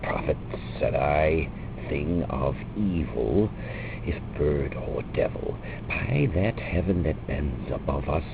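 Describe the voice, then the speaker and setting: A man with a gravelly voice gives a theatrical, drawn-out reading of a dark narrative poem, with long pauses. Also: lively intonation. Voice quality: gravelly, very deep. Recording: muffled lo-fi recording with loud background noise.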